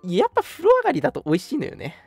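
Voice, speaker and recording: A young man hosts a casual radio chat in a slightly high voice with some gravelly creak. He talks quickly and conversationally, explaining things to his co-host and listeners. The sound is very dry and close. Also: wildly swooping pitch, a little mellow, no room sound, soft background music. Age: young adult.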